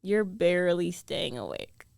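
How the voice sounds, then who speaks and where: A woman acts out a young female roommate in love, jealous and guarded but tender underneath. Her voice has a noticeable creaky fry and sits very close and dry. She speaks in an intimate, hesitant girlfriend ASMR style, full of feeling. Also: on the low side, animated, darkish tone, no echo. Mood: tired, soothing. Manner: hesitant, emotional, intimate delivery with trailing pauses.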